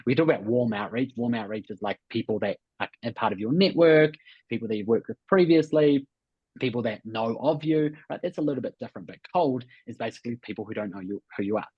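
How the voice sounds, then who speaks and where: Husky Kiwi man coaching, roomy sound. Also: medium pitch, fast. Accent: New Zealand. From New Zealand. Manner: emphatic, repeats key points, checks in with "right?". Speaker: host and business coach. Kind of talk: webinar coaching, conversational explanation. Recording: muffled, roomy webinar audio.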